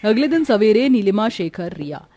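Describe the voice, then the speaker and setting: A woman's voice: mid-range voice, very animated, normal speed, warm tone. A woman narrating a scripted moral story as a storyteller.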